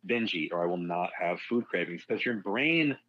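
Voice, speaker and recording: A man's voice: deep voice, lively intonation, moderate pace, dry recording, highs cut off. A man talking as a fitness guest on a casual podcast, frank and rambling, swearing freely.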